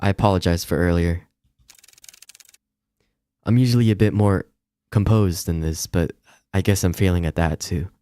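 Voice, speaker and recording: A man's voice: deep voice, fairly even intonation, normal speed, long pauses, dark tone, clean tone, no echo, close to an everyday voice. Man voicing a timid, distressed young woman. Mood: apologetic. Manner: hesitant, apologetic.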